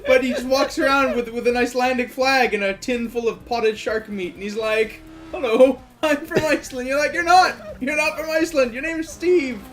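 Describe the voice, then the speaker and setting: A man's voice: very high-pitched, normal speed, few pauses, a bit bright. A man from Quebec co-commentating on a video game in casual, teasing, sarcastic chat. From Quebec, Canada. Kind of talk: casual joking chat over gameplay.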